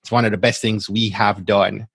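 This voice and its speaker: A man's voice: fairly low pitch, level tone, normal speed, gravelly, a bit bright, husky, no room sound. A middle-aged man hosting a podcast interview, chatty and affirming, often checking in with "right?". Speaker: podcast host. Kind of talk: conversational interview.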